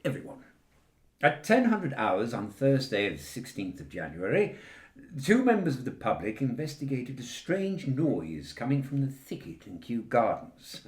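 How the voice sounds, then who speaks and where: A man with a British accent playing a formal, dutiful government official delivering a precise, measured briefing in a satirical radio play. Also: medium pitch, wildly swooping pitch, darkish tone, reverberant. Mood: officious, grave.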